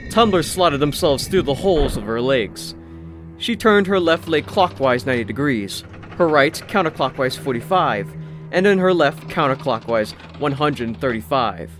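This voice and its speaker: A man narrating a scripted fantasy story in the manner of an audiobook. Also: fairly high pitch. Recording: music behind the voice. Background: background music.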